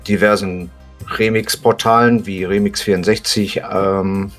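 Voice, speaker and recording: Guy being interviewed on a podcast, lowish voice, casual and plain spoken, soft music behind. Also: fairly even intonation, unhurried. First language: German. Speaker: podcast guest, hobby musician. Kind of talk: casual interview talk, explaining.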